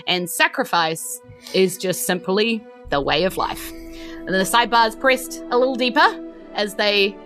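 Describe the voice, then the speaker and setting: A woman's voice: medium pitch, a little slow, a bit bright, soft background music. A woman hosting and narrating a tabletop role-playing game. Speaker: game master and host of a tabletop RPG podcast. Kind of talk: narrating a tabletop game, part recap, part casual chat.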